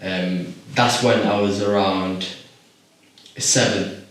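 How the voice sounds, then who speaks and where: A calm man in his twenties with a low voice, from Iraq. He speaks thoughtfully and pauses on ums, recorded from a distance in a very echoey room. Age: 20s. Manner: calm, reflective, many ums. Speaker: guest. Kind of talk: casual interview.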